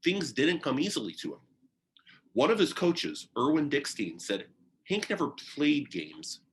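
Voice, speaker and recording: A man reading a children's biography aloud in deliberate, short phrases with pauses, like a teacher sharing a picture book. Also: on the high side, very animated, a little hiss or hum.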